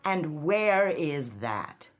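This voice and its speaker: A woman's voice: sits low, expressive, drawn-out words, full character voice. A woman playing a cold, ambitious wife in a radio drama, cutting and sarcastic with her husband. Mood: scornful. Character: cold, ambitious, scornful wife of a weary executive. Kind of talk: modern radio drama acting.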